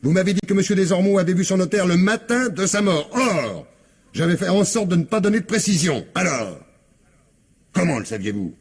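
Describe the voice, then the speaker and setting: Husky, relentless police commissioner. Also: male voice, sits high, animated, brisk. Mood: insistent, accusing. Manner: methodical, insistent, didactic. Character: shrewd, relentless male police commissioner making accusations. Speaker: actor in an audio mystery play. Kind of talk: French radio crime drama acting.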